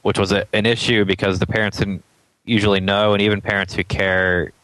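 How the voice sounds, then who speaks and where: A man with a very low, smooth, resonant voice talks as a guest in a casual interview. He is from Atlanta and thinks aloud with plenty of ums and easy chuckles. The sound is dry and close. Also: a little fast, dry recording, low-quality recording. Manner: relaxed, thinks aloud with many ums, laughs often. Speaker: interview guest, startup founder.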